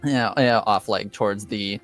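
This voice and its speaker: A man improvising narration as game master of a tabletop roleplaying game, in a halting, tentative way. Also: lowish voice, fairly even intonation, a bit bright, soft background music.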